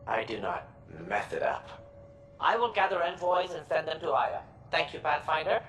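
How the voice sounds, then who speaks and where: An officious alien male bureaucrat in a video game. His highish voice moves animatedly through clipped, pompous phrases, with faint ambient noise behind. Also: very animated, normal speed, fairly bright tone. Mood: affronted, officious. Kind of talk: video game cutscene dialogue.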